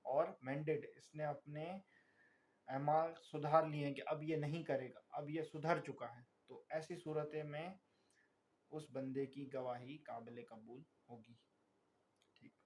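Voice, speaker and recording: A man with a Pakistani accent lecturing as a tutor, reading formal text and explaining it in a deliberate, didactic way. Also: a little high, fairly even intonation, a little hiss or hum. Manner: deliberate, didactic, repeatedly checking understanding. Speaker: law tutor.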